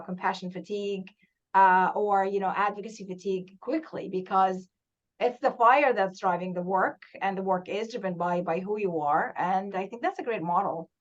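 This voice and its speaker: A woman hosting an interview, affirming her guest and talking casually with frequent fillers. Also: mid-range voice. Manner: warm, affirming, frequent "you know" fillers. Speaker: podcast host, interviewer. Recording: slightly dull audio.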